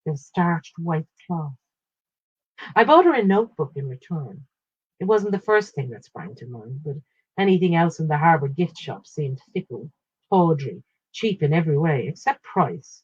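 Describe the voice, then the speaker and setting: A woman reading a literary first-person short story aloud in a measured, expressive way with pauses. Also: low-pitched, husky, dull audio.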